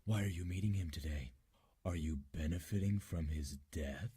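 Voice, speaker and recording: A man's voice: sits low, moderate pace, very noisy, lightly acted. A man playing a blunt, pressing, world-weary police detective in the style of a 1940s radio drama.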